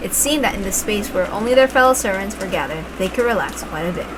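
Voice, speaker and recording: A woman's voice: medium pitch, expressive, quick pace. A woman narrating a story aloud as a reader, expressively and with informal asides. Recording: clear background noise, like rain.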